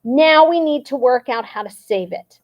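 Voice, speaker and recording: A woman doing a loud, impassioned male campaigner. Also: high-pitched, lively intonation, normal speed, pitched above the performer's own voice. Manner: loud speech-making delivery. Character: excited shouting male environmental campaigner.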